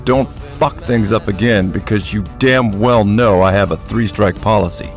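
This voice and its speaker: A man's voice: fairly low pitch, normal speed, faint music behind, lightly acted. An actor playing a domineering, crude and sarcastic crime-boss father in a radio drama, mocking and menacing. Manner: mocking, menacing, theatrical outbursts.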